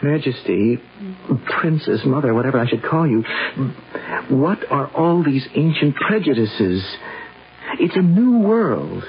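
A man's voice: mid-range voice, very animated, a little slow, a little hiss or hum. An actor with an American accent playing a headstrong, lovestruck young man in a 1970s radio drama, impulsive and emphatic. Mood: determined. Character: headstrong, lovestruck modern young man defying old taboos. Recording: dull vintage radio recording.

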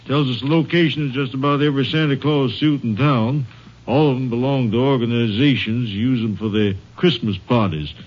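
An actor playing a plain-spoken police chief in an old-time radio drama, casual, folksy and businesslike. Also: male voice, medium pitch, normal speed, darkish tone, natural voice. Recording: muffled old radio recording.

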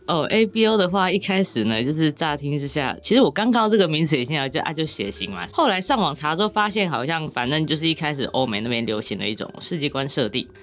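A woman's voice: low voice, sing-song, quick pace, few pauses. A woman with a Taiwanese Mandarin accent explaining things casually as a podcast guest, with wry, rambling humour.

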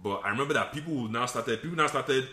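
A man's voice: mid-range voice, fast, pushed and bright. A man from Nigeria telling stories in casual chat, laughing often and acting out other people's lines. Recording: roomy echoey room.

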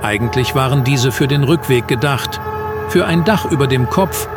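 A man's voice: medium pitch, normal speed. Man narrating a christmas audio play, scripted story reading, music behind him.

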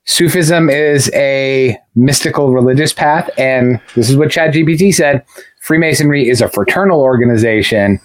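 A man of medium pitch chats as a podcast co-host, with very little room sound. He rambles discursively and casually, checking that others follow. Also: moderate pace, dry recording, rough recording.

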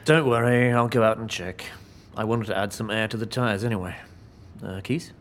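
An actor playing a decisive, reassuring man on an improvised undercover chase in a comedy audio drama, practical and quick to take charge. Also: lowish voice, steady tone, a little hiss or hum, lightly acted. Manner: practical, urgent, taking charge.

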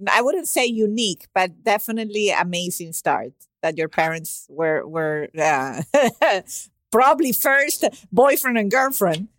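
A woman's voice: medium pitch, animated, measured pace, a bit bright, no echo. A woman hosting a podcast interview, enthusiastic and chatty, with many fillers. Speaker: podcast host.

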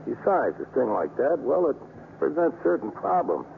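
An actor playing a gruff, guarded middle-aged baseball manager with blunt, halting, slangy talk in a 1950s radio drama. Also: male voice, medium pitch, very animated. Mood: guarded, wistful. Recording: narrow, noisy 1950s radio recording.

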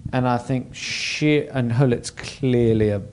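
A chatty man from England leads a relaxed football podcast. His voice sits at an ordinary middle pitch, and he often laughs his way through anecdotes. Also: takes it slowly, slight background noise. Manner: chatty, laughs while telling stories. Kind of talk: casual football chat.

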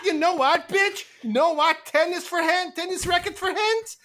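Woman doing a shrill foul-mouthed teen girl. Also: wildly swooping pitch, fast talker, fairly bright tone. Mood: furious. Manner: shrill cursing insults. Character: crude foul-mouthed teenage girl, hot-tempered.

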